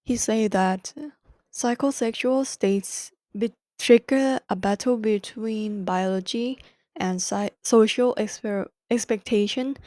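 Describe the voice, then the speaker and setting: A woman's voice: mid-range voice, slow, warm tone, dry recording. A young woman with a Thai accent hosting a solo podcast, explaining a book carefully in non-native English and correcting herself as she goes. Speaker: podcast host.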